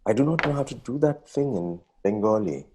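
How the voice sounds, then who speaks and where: A man acting as a young nineteenth-century Bengali poet with an Indian accent, slightly husky in voice. He reads his letters theatrically, self-dramatising and anxious, in ornate, exclamatory phrases from a stage play. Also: medium pitch, animated, fairly quick, warm tone. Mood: earnest, proud.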